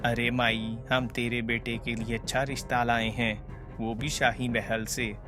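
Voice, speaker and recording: A man's voice: medium pitch, little pitch movement, unhurried, sharp tone, faint music behind. A man playing a dutiful royal soldier in a cartoon story, earnest and deferential. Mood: earnest, persuading. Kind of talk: Hindi cartoon story dialogue.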